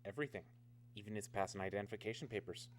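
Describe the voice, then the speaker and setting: A man plays a businesslike security officer in a radio play. He talks quickly in a lowish voice, clipped and official, over a faint hum. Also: close to an everyday voice. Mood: matter-of-fact. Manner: clipped, matter-of-fact, official. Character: businesslike male security officer at atomic research camp. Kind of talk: radio drama acting.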